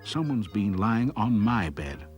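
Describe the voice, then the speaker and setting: A man voicing a cross, middle-sized mother bear from a storybook, speaking slowly and fussily. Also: fairly low pitch, very animated, darkish tone, soft background music. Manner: slow, cross, fussy. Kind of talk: storybook character voice.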